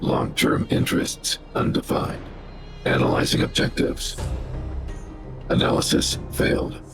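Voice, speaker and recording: A man's voice: a little slow, loud music behind the voice. A man playing a large, coldly logical robot guardian in a video game, speaking formally and analytically through robotic processing. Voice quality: gravelly, very deep. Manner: clipped, formal, analytical machine speech.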